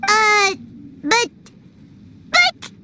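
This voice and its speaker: A woman playing a tiny, excitable flying girl companion in an anime-style game, childlike, exclamatory and easily scared. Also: extremely high pitch, extremely slow, background noise, full character voice. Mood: puzzled. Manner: speaks of self in third person, exclamatory. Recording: loud music behind the voice.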